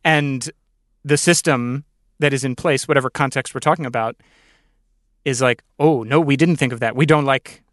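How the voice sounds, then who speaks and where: A man hosting a thoughtful podcast interview, speaking in deliberate, measured phrases with pauses. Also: medium pitch, fairly bright tone, no room sound, clean studio sound.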